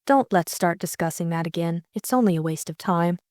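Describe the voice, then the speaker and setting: Woman playing earnest young lady, lowish voice. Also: fairly quick, a little mellow, no echo, studio quality, close to an everyday voice. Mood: firm. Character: earnest young woman, firm but admiring.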